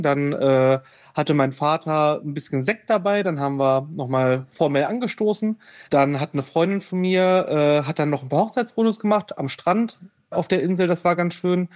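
A man's voice: a little high, darkish tone. A man telling a personal story as an interview guest, hesitant, with many fillers. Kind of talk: casual storytelling. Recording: dull lo-fi sound.

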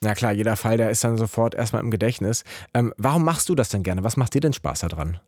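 A man's voice: lowish voice, quick pace, darkish tone, no room sound. A man hosting a radio show, chatting casually and informally with a caller from a studio. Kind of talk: casual radio phone-in chat. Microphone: close studio microphone.

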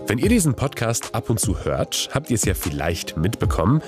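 A man's voice: lowish voice, very animated, fairly quick, over music. A man whose first language is Polish, hosting a podcast with reflective, personal narration and interview questions. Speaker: podcast host.

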